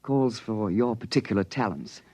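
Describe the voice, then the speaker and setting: A man's voice: fairly low pitch, lively intonation, moderate pace, mellow, slightly rough, no echo. A man playing a reserved, businesslike intelligence officer in an old radio drama, clipped and a little hesitant. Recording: dull vintage radio recording.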